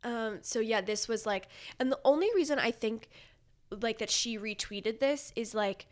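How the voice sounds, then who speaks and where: A woman chatting casually about pop culture, retelling things in an animated way with quoted asides. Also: on the high side, normal speed. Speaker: podcast guest.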